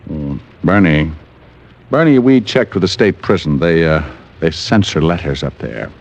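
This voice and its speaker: An actor playing a smooth, wisecracking private detective in 1940s radio drama, questioning in a dry, teasing, unhurried way. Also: male voice, low voice, animated, heavily acted. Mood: calm, insistent. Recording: old muffled radio recording, music behind.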